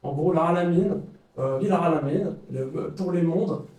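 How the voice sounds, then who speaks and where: A man's voice: on the high side, a little slow, darkish tone. Hesitant man lecturing in an echoey room. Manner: hesitant, many fillers and restarts. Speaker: speaker leading a study circle. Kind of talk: informal lecture with questions. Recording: echoey room, far from the mic.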